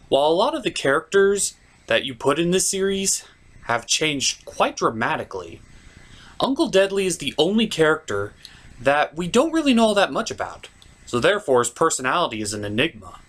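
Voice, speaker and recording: A man's voice: fairly high pitch, wildly swooping pitch, moderate pace, fairly bright tone. A man hosting a scripted comedic review with skits, with a theatrical, exasperated delivery. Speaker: comic reviewer and host.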